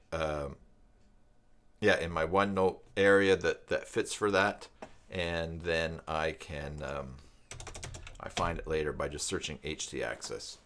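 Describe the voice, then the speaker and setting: A man hosting an informal screen-share tutorial, thinking aloud and reading out documentation in a halting, self-interrupting way. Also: low voice, fairly bright tone. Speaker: live stream host giving a web tutorial. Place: at a desk by a computer.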